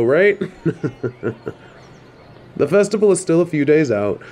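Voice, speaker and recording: A man's voice: medium pitch, darkish tone. A man playing a friendly, easygoing wagon driver in an audio drama, casual and chatty. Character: easygoing, friendly male travel driver in ancient China. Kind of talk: fan audio drama acting.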